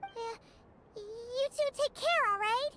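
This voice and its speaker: A woman voicing a tiny, caring, perky fairy companion in a video game, with a squeaky childlike voice. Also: slow pace, pushed and bright, a little hiss or hum, heavily acted. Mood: caring, pleading. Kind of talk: cartoonish game voice acting.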